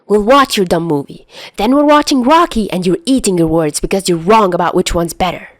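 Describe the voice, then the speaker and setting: A woman's voice: fairly low pitch, lively intonation, a little fast, no room sound, studio quality, natural voice. A woman voicing a stubborn, defensive teenage boy who grumbles curtly in an audio story. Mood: heated. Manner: grumbling, curt.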